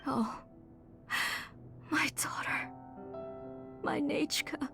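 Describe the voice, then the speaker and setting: A woman playing a tender, doting middle-aged mother in a video game, speaking in a gentle, motherly way. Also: high-pitched, fairly even intonation, extremely slow, gravelly, whispered, heavily acted. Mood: grieving, tearful. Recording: music under the voice.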